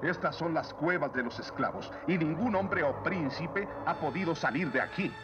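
An actor as a brusque mine overseer. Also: male voice, fairly high pitch, lively intonation, moderate pace, lo-fi sound, music in the background, natural voice. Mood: stern. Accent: Latin American Spanish. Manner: brusque, commanding. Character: stern male overseer of slave mines. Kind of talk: dubbed animated series acting.

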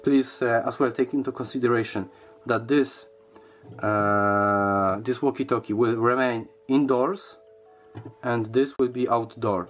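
A man giving a casual unboxing and product review in a halting, hesitant way, often repeating words. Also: medium pitch.

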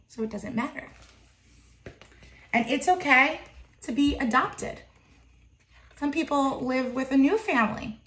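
A woman's voice: on the high side, pushed and bright. A woman in her thirties reading a picture book aloud to young children, expressively, with simple explanatory asides. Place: home kitchen, indoors. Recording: roomy, echoey home kitchen.

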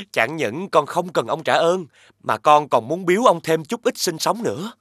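An actor playing a humble, kind, honest younger brother in an animated fairy tale, speaking respectfully. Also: male voice, a little high, measured pace, no echo, studio quality. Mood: friendly. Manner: respectful, deferential.

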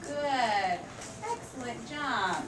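A woman's voice: on the high side, animated, extremely slow. A woman playing a kind, encouraging mother bear in a children's puppet show. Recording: echoey, noisy room from far off.

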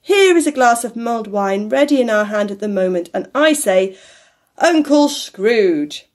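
A woman voicing a jovial, good-natured young gentleman in a storybook, with hearty, emphatic delivery. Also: fairly high pitch, very animated, a little fast.

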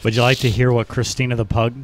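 A man's voice: medium pitch, level tone, fast, slight background noise. A man speaking casually as a podcast co-host into a studio microphone. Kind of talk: casual podcast chat, reading out reviews. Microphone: podcast microphone in a small studio.

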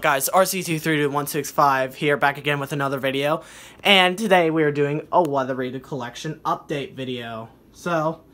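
A man giving a casual, halting show-and-tell as a hobby vlogger, thinking aloud behind a handheld camera at home. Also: a little high, sharp tone. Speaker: hobby vlogger showing a weather radio collection. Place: indoor room at home. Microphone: handheld camera or phone, behind the lens. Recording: noisy amateur home camera recording.

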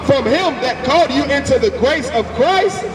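A man slowly reading Bible verses aloud on a city sidewalk. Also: extremely high pitch, lively intonation, noisy background. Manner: slow, measured reading. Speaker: scripture reader. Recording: echoey outdoor street sound with crowd, from far off.

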